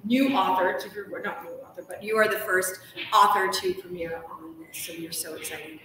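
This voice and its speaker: A woman's voice: medium pitch, steady tone, normal speed. A woman hosting a live interview in an effusive, gushing way, introducing her guest and asking questions. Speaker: host interviewing an author. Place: large ornate hotel room, indoors. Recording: very echoey room, distant mic, faint noise.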